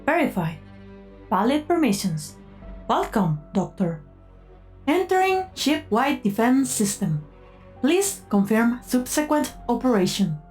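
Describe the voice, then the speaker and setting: A woman's voice: on the high side, sing-song, unhurried, a little mellow. A woman voicing a composed ship computer in a game fan dub, giving clipped, formal system announcements. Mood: impassive. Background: background music.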